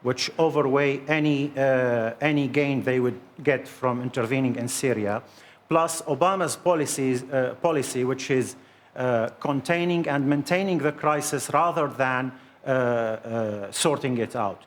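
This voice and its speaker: A man speaking as a guest in a live studio debate, measured and full of hesitant pauses. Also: medium pitch. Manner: measured, many hesitant fillers. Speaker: panel guest, Syrian opposition member.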